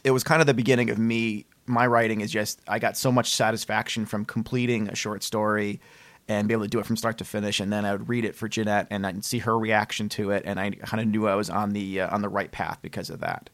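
Man, casual podcast interviewer, lowish pitch, affable, rambling, dry sound. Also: flat delivery, fast talker, a bit bright, no echo.

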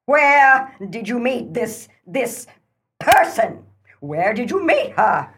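A woman's voice: fairly high pitch, sing-song, slow, a bit bright, exaggerated voice. A woman acting a domineering, self-righteous wife in a comic radio play, denouncing in a haughty, declamatory manner. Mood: outraged.

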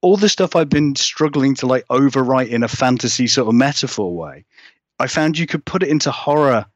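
A man's voice: medium pitch, lively intonation, a little fast, no echo. Man rambling casually, slightly muffled sound. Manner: rambling, self-deprecating, informal. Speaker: horror writer, interview guest. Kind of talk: casual interview chat.

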